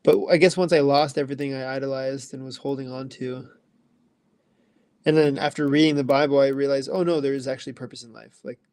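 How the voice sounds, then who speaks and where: Gravelly-voiced young man, dry close sound. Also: mid-range voice, a little mellow, no echo. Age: 20s. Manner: loose, rambling, filler-heavy. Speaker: livestream host answering chat. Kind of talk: casual chat.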